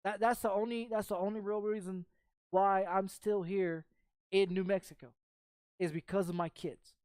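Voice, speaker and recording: A woman's voice: mid-range voice, fairly even intonation, normal speed, a little mellow, no room sound, clean studio sound. A woman in her thirties from Texas chatting casually as a streamer, blunt and sweary, circling back over the same phrases. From Texas, United States. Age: 30s. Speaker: game streamer. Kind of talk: casual rambling chat.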